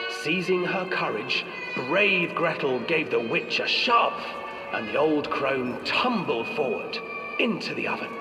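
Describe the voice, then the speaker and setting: A man playing a sinister storyteller, reading a fairy tale in a theatrical, scripted way. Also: medium pitch. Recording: echoey hall, distant, music behind.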